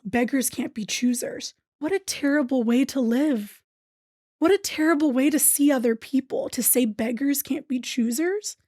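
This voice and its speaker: A woman's voice: high voice, mellow, no room sound, studio quality. A woman from Thailand, a business owner talking earnestly in a casual interview, often checking in with "right?".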